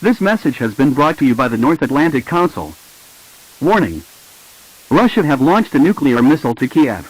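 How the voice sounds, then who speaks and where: A man's voice: mid-range voice, sing-song, moderate pace, slightly rough, poor audio. A man reading a scripted emergency broadcast announcement in a formal, impersonal way.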